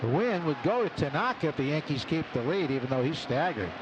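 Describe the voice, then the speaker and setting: A man's voice: fairly high pitch, very animated, fast. A man doing live baseball play-by-play on the radio, in clipped phrases with statistical asides. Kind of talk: live sports commentary. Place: ballpark broadcast booth. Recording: live radio, loud stadium background noise. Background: clear ballpark ambience noise.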